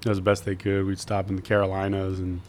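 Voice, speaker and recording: Easygoing young man, low voice. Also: completely flat delivery, quick pace, darkish tone, slight background noise. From Florida, US. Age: young adult. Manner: easygoing, hesitant, many uhs. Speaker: guest. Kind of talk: casual interview chat.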